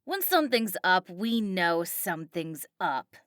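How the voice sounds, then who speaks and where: Audiobook role: jealous, bullying, substance-fuelled mom, acted by a female reader. Sharp, slangy, domineering, close dry recording. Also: lowish voice, big pitch swings, a little slow, dry recording. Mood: bitter ranting. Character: vicious, drug-addled, foul-mouthed mother, jealous and bullying. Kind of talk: audiobook character acting.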